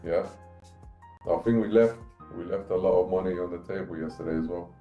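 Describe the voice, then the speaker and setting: A man's voice: low-pitched, fairly quick, narrow, tinny audio, soft background music. A man joining a live trading stream, casually talking through how he manages his trades. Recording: echoey room sound.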